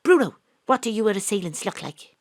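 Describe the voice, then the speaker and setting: A woman narrating as a private detective in a radio play, with a brisk, wry, deadpan manner. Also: medium pitch, no room sound, clean studio sound. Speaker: narrator and detective Astrid Price. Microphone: home studio mic.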